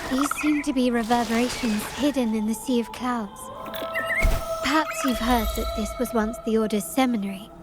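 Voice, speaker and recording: Actress as a cryptic, elegant stranger, slightly high voice, over game music. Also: female voice, natural voice. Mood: calm. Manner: measured, elegant, teasing. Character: poised, enigmatic adult woman, knowing and cryptic. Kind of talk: game cutscene voice acting. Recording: music score behind.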